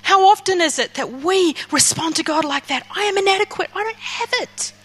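A woman's voice: very high-pitched, animated, normal speed, fairly bright tone. A woman preaching a passionate sermon, voicing Moses's pleas in the first person. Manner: impassioned, acts out Moses pleading in first person.

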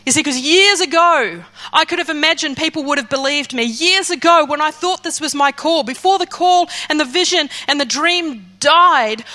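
A woman's voice: sits high, sharp tone. A woman preacher delivering a sermon, emphatic, repeating key lines and reading scripture aloud.

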